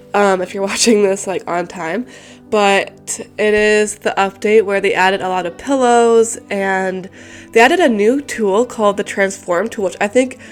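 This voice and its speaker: A young woman with a congested, stuffy voice, chatting casually and rambling with lots of fillers while talking over a building game. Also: medium pitch. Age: young adult. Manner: rambling, many fillers and restarts. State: congested, recovering from a cold. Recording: soft music behind the voice.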